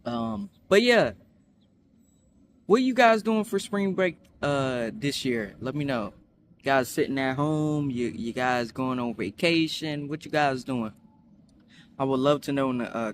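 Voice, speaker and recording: A young man chatting casually in a storytime vlog, rambling with many fillers and restarts, acting out quotes in higher voices. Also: a little hiss or hum. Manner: acts out quotes with high voices. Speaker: vlogger.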